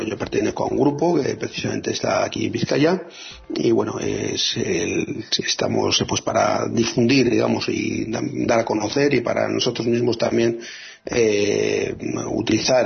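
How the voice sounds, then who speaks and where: Gravelly, husky man on lo-fi radio. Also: lowish voice. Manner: hesitant, many fillers and restarts. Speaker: radio co-host presenting free software. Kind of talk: casual radio talk.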